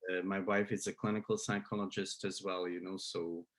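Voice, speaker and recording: A man's voice: low-pitched, flat delivery, brisk, fairly bright tone. A man in his fifties from Slovakia with a Slovak accent, answering interview questions hesitantly with many fillers and restarts. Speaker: psychology professor, guest.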